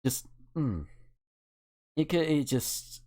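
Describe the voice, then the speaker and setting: Casting: American talk host, very lively pitch movement, blunt and emphatic, swears freely. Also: slow. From United States. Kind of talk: casual opinionated discussion.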